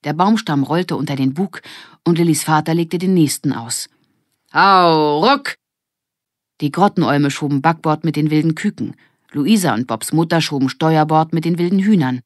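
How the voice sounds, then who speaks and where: A woman's voice: low voice, moderate pace, a little mellow, no room sound, clean studio sound. A woman narrating a children's audiobook in a scripted storytelling style.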